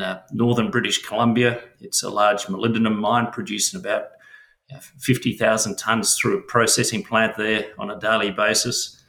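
A man's voice: fairly low pitch, bright, forceful tone, echoey room. A middle-aged Australian man with an Australian accent, answering interview questions as a mining company chief, hesitant and full of fillers and restarts.

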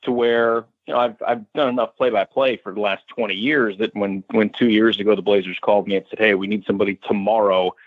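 A man's voice: lowish voice, fairly even intonation, fast talker, few pauses, dry recording, phone-quality sound. An American man in his thirties, a sports radio announcer, talking conversationally and at length as an interview guest. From Westchester County, New York, United States. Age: 30s.

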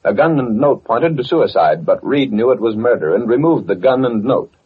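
An actor as a dramatic radio serial announcer, narrating the story in a grave 1940s style. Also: male voice, mid-range voice, expressive, moderate pace, breathy edge, phone-quality sound. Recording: thin, band-limited old radio recording.

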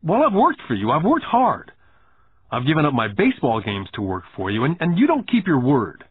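A man's voice: a little high, wildly swooping pitch, moderate pace, husky, no room sound, voice pushed up, heavily acted. A man doing an indignant nine-year-old boy. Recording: tinny phone-like band.

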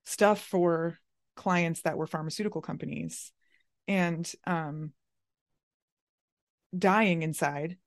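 A woman's voice: lowish voice, slow, long pauses, dry recording, studio quality. A woman hosting a casual interview, chatting in an informal, playful and candid way. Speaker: interviewer, podcast host. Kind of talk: casual chat.

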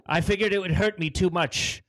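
A man's voice: high-pitched, normal speed, a bit bright, dry recording, rough recording. A man playing a morose, self-pitying, apologetic male writer in an improvised comedy interview.

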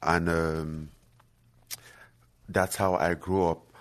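Man from Cameroon, very deep voice, halting earnest interview talk. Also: flat delivery. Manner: halting, many fillers and restarts, earnest. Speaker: guest fighter. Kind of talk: casual interview chat.

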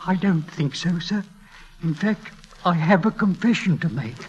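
High thin voice, dying servant, apologetic, halting, deferential, dull old radio drama recording. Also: male voice, animated. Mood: resigned. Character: dying, apologetic, devoted English manservant. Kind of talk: 1940s radio acting.

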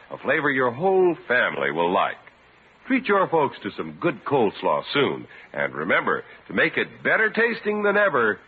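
A man's voice: mid-range voice, a little hiss or hum. An American man announcing in a polished, emphatic 1950s radio sponsor style. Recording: muffled old radio broadcast, music behind.

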